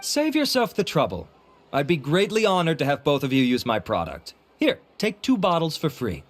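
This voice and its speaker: A man's voice: fairly high pitch, huge pitch swings, moderate pace. A man playing a courteous, vain beauty-product researcher in a video game, speaking in a polished, formal, self-assured way. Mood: gracious.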